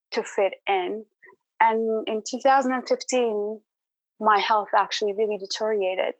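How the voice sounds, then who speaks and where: A woman's voice: mid-range voice, no echo, rough recording. A woman podcast guest telling her personal story in an earnest, thoughtful, reflective way.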